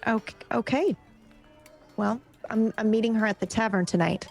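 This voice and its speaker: Woman, tabletop player in character; brisk, persuasive, easy chatter. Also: fairly high pitch, gravelly, a little mellow, soft background music.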